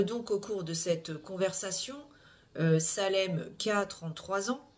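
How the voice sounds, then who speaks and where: Halting speaker full of filler sounds. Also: medium pitch. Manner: hesitant, frequent "euh" fillers and restarts; measured, explanatory delivery with tag "hein". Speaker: true-crime channel host. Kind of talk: recounting a criminal case to viewers.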